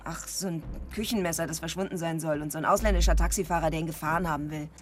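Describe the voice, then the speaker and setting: A woman's voice: sits low, fairly even intonation, fast, music in the background, lightly acted. An actress playing a driven, outspoken woman investigative reporter in a radio drama. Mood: irritated, insistent.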